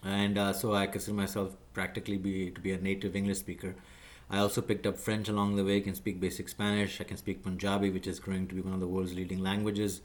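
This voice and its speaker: Lowish-voiced man lecturing with many fillers. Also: completely flat delivery. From Pakistan. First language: Urdu. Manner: measured, lecturing, many fillers and self-corrections. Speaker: video creator explaining job skills. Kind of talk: unscripted talking-head explainer.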